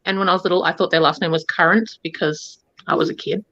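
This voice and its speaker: A woman's voice: on the low side, fairly even intonation, fast talker, sharp tone, slight background noise. A woman, chatting casually and rambling on a live stream. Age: 30s. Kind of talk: casual chat. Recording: dry, dull lo-fi sound.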